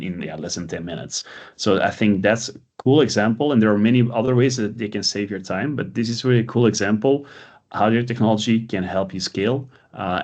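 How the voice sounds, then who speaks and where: Low-voiced man hosting a webinar. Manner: hesitant, many fillers, conversational. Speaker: webinar host. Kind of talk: presenting a recorded webinar.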